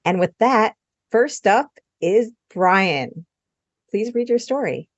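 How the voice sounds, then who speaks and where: A woman's voice: mid-range voice, lively intonation, slow, no echo, lo-fi sound. A woman hosting a podcast, explaining the format in a scripted introduction. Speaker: podcast host.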